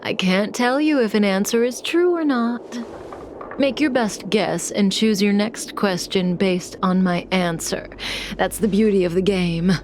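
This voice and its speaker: An actress playing a calm, teasing, manipulative woman in a video game, measured and coolly playful. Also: medium pitch, a little hiss or hum, close to an everyday voice. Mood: composed, philosophical. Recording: music behind the voice. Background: game soundtrack music.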